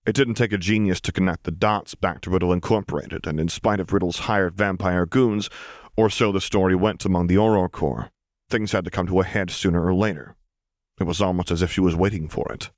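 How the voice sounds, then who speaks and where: A man's voice: deep voice, fairly even intonation, brisk, no echo, studio quality. A man reading a scripted story aloud as an audiobook narrator.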